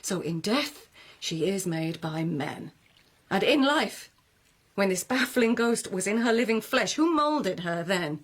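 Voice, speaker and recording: An actress playing an intelligent, outspoken lady of the court in a radio drama, speaking with deliberate, rhetorical phrasing and pointed irony. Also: medium pitch, lively intonation. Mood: impassioned, scornful.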